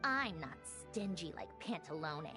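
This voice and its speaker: A woman's voice: low-pitched, big pitch swings, a little slow, over loud music. A woman playing a dry, long-suffering but generous researcher in a video game, with a wry delivery. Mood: wry, indulgent. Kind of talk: video game character dialogue.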